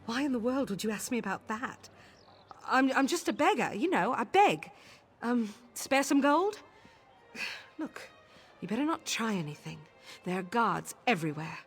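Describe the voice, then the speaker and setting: A woman's voice: on the high side, sing-song, normal speed, breathy edge, faint music behind, exaggerated voice. An actress voicing a nervous, defensive female beggar in a video game. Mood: suspicious. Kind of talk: video game character dialogue.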